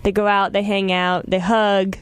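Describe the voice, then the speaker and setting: A young female radio panelist tells stories in a casual, conversational way. Her pitch is average and she speaks in a studio. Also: level tone, a little slow, clear, resonant tone, no room sound. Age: young adult. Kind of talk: casual anecdotal chat.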